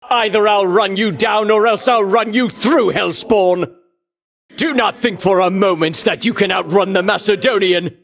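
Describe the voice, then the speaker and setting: A vain pompous hero warrior for a video game, high voice swinging all over, grand old-timey talk. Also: male voice, fairly bright tone, exaggerated voice. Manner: grandiose, declamatory, archaic heroic diction. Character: pompous, vainglorious male champion warrior, bombastic and scornful. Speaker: voice actor. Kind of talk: theatrical video game battle lines.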